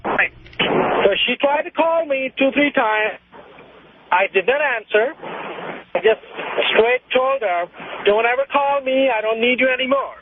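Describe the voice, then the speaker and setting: A man's voice: very high-pitched, animated, measured pace, pauses often. A man calling a radio show and casually telling a personal story. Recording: noisy, tinny phone line.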